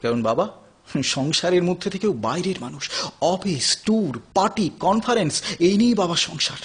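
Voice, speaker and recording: Audio drama: anguished, lonely man around thirty, high husky voice, very animated, halting emotional phrasing. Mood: agitated, confessional. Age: 30s. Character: lonely, anguished thirty-year-old son of neglectful parents. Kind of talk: Bengali audio play acting.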